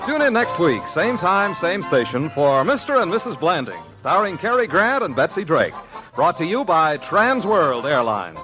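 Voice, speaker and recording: A man announces closing credits in old-time radio style. His voice is fairly high and very lively, with music playing behind. It has the sound of a 1950s broadcast. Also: moderate pace, rough recording.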